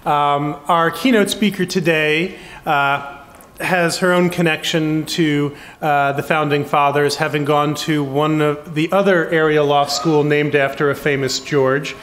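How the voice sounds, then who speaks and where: Higher-voiced man introducing a speaker. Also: steady tone, a little slow, fairly bright tone, a little hiss or hum. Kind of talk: formal introduction. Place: conference hall, at a lectern. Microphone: lectern microphone.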